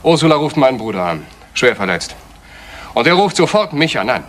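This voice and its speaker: Casting: cool, unrepentant blackmailer, medium-pitched and scornful, vintage crime radio play with light noise. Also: male voice, sing-song, fairly quick, a bit bright. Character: cynical, brazen male blackmailer, coolly unafraid. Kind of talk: retro German radio crime drama acting.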